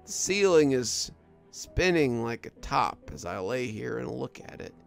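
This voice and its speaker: A man voicing a wounded, delirious prison guard sergeant in a horror story, speaking in a weary, halting, frightened way. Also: mid-range voice, big pitch swings, vocal fry, soft background music. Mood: dazed, woozy. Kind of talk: first-person horror story narration.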